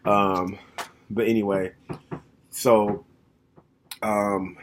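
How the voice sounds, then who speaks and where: A man's voice: lowish voice, little pitch movement, drawn-out words, a little hiss or hum, rough recording. A man in his thirties talking at home in a casual, reflective monologue to the camera. He is frank and plainspoken, and thinks aloud as he goes. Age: 30s.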